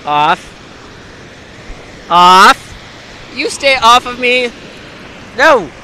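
Bright-voiced man filming a dog on the beach. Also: very high voice, very animated, extremely slow, low-quality recording. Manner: calls out short commands to a dog. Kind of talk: casual chat. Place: sandy lake beach outdoors, waves behind. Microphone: handheld phone camera. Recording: faint surf and wind noise.

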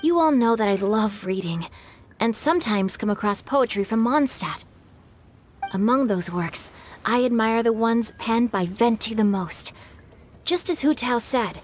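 A man's voice: extremely high pitch, slight background noise. A voice actor playing a polite, bookish young man in a video game, slightly flustered when pressed. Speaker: game character.

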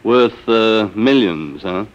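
A middle-aged American man blusters his way through a 1950s radio play. He is gruff and suspicious, with very animated pitch. The recording is muffled and vintage. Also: slow pace. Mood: skeptical. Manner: blustery, exasperated. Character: gruff, suspicious family man who sells mechanicals. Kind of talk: 1950s radio drama acting.

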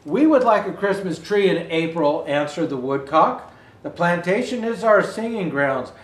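High-voiced older man reading a storybook, roomy. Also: normal speed. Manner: expressive read-aloud with asides to viewers. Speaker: storyteller in Santa costume. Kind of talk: reading a children's picture book aloud. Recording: roomy indoor echo.

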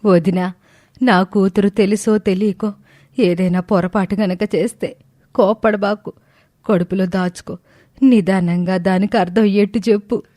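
A woman's voice: mid-range voice, fairly even intonation, normal speed, warm tone, lightly acted. A woman voicing a caring, middle-aged mother in a cartoon family drama, earnest and direct. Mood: pleading.

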